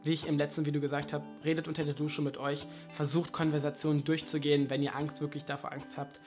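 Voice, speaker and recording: Chatty young man, higher voice. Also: flat delivery, quick pace, music in the background. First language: German. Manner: chatty, asks rhetorical questions, self-mocking asides. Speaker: language vlogger. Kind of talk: casual advice vlog.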